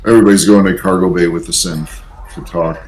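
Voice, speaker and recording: Very deep-voiced man playing a tabletop game. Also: steady tone, fast talker, a little mellow. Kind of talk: in-character planning in casual game talk.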